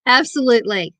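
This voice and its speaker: Livestream host: chatty woman with a southern Missouri drawl, speaks slowly, dry webcam sound. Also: high voice, pushed and bright, no room sound. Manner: chatty, reads viewer chat aloud. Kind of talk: casual live chat.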